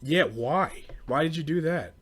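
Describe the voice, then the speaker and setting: A man's voice: fairly high pitch, lively intonation, a little slow, fairly bright tone, slightly rough. A young American man hosting a podcast, rambling casually and comedically in an exasperated way, with plenty of swearing and bro slang. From United States. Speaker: podcast host.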